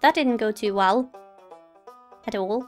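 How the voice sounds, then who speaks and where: A woman's voice: fairly high pitch, slow. A woman giving casual, polite gameplay commentary as a let's play host, chatting to herself and the game. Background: game music.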